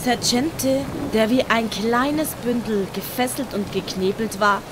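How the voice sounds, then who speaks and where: A woman's voice: a little high, unhurried, heavy background noise. A woman narrating a scripted audio story. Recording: loud music and storm effects behind.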